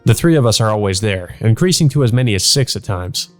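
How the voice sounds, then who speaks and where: A man's voice: fairly low pitch, expressive, fast, faint music behind, lightly acted. A man voicing an earnest, easygoing, domestic teenage schoolboy from a visual novel, plain and mildly wry.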